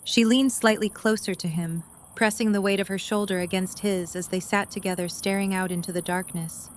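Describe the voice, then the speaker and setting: A woman's voice: mid-range voice, fairly even intonation, moderate pace, a little hiss or hum. A woman reading scripted third-person story narration for an audio drama.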